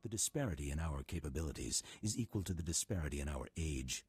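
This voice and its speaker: Very deep, husky old Jedi master. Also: male voice, lively intonation, a little mellow, no echo, full character voice. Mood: solemn, unyielding. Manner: measured, formal, solemn. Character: old Jedi master, stern, calm and unyielding. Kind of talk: video game voice acting.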